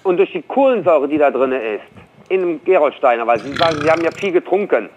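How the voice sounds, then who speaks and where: A patient shopkeeper taking a complaint by phone, a man with lively intonation over faint line noise. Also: a little high, quick pace. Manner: earnest, patient, explanatory. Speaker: drinks seller answering a phone complaint. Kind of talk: earnest customer phone conversation. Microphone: telephone. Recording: phone call with background noise.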